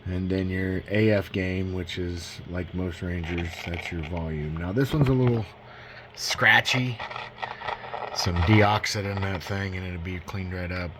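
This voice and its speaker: Low voiced guy rambling through a gear demo, folksy and unscripted, some background noise. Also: steady tone, unhurried. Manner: folksy, self-deprecating, rambling explanations. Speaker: radio hobbyist demonstrating a used CB radio. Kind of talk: casual unscripted product walkthrough.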